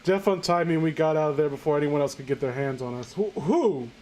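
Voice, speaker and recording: A man's voice: fairly high pitch, quick pace, noisy background. A man reading a story aloud as host among friends, casual and incredulous, often tripping over the text and breaking off to mock it. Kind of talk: casual mocking reading of amateur fan fiction with friends.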